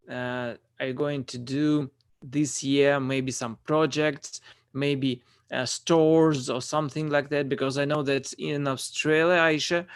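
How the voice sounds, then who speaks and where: Slow, halting male online host. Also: medium pitch, clear, resonant tone, no echo, clean studio sound. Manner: halting, hesitant phrasing. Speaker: conference host and moderator. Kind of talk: moderating an online panel. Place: online video call.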